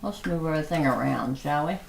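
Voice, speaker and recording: Hoarse woman host, unhurried, soft-spoken, lower voice, faint noise. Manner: soft-spoken, slow and chatty. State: hoarse, losing her voice. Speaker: tarot reader hosting a livestream. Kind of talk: casual livestream chat.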